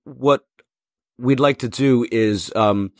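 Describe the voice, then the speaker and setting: A man's voice: medium pitch, slow, no echo, studio quality. A man hosting a podcast interview, asking questions in a polite, formal way. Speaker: podcast host.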